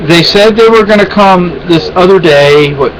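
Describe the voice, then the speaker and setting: A man's voice: sits high, moderate pace, crowd noise, poor audio. A man venting in a rambling, exasperated way in a casual home vlog, with many restarts. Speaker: vlogger.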